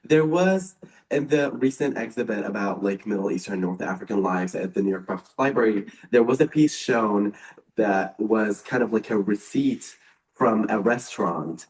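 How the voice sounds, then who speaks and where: A native Arabic speaker in the audience asking a casual question, full of fillers. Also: male voice, fairly low pitch, moderate pace. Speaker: audience member. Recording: roomy hall, distant mic.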